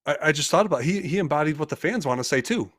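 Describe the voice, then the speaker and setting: A man's voice: on the high side, lively intonation, quick pace, a bit bright, no echo, studio quality. A man co-hosting a casual sports talk show, rambling with many restarts and hedges. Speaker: co-host.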